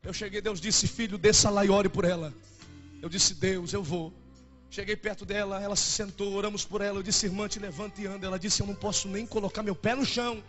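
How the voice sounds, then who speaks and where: A man's voice: sits high, steady tone, brisk, a bit bright, soft background music. A young man in his twenties with a Brazilian Portuguese accent, preaching a fervent and emotional sermon as a pastor. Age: 20s.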